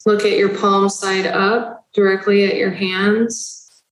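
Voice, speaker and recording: A woman guiding a slow, soothing body-awareness meditation. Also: mid-range voice, little pitch movement, echoey room, rough recording. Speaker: meditation guide.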